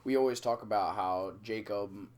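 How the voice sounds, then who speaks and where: A young man co-hosting a casual podcast, talking haltingly with many fillers and restarts, teasing and fond. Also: lowish voice, a little mellow. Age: young adult. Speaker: podcast co-host. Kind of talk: casual chat.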